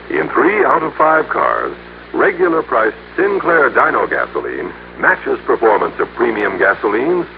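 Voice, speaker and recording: A man's voice: mid-range voice, vocal fry, phone-quality sound. An American man reading scripted copy as a 1960s network radio announcer. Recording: old, band-limited, hissy radio recording.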